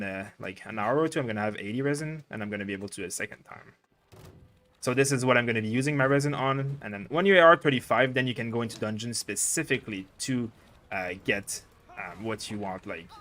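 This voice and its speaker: A man's voice: lowish voice, fast talker, a bit bright. A young man from Quebec with a Quebec French accent, casually chatting through a livestream and thinking aloud with lots of fillers. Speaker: game streamer.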